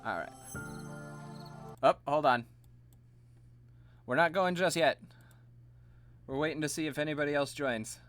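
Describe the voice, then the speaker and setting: A man streaming live, chatting casually and laughing at himself, his voice slightly gravelly and tired. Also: fairly high pitch, a little slow, long pauses, fairly bright tone, soft background music. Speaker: streamer and voice actor.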